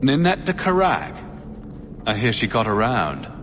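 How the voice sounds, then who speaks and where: A man's voice: medium pitch, huge pitch swings, unhurried, big-room sound, noisy background. A man playing a blunt, sardonic adventurer hero in a video game, dry and probing in his questions. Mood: wry. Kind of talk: dramatic video game dialogue.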